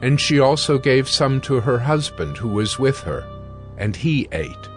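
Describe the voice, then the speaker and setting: A man narrating scripture in a slow, steady, deliberate reading. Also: mid-range voice. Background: music.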